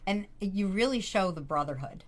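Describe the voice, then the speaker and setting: A woman's voice: mid-range voice, animated, moderate pace. A woman hosting an interview podcast, encouraging her guest with frequent affirmations. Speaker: podcast host. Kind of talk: casual interview chat.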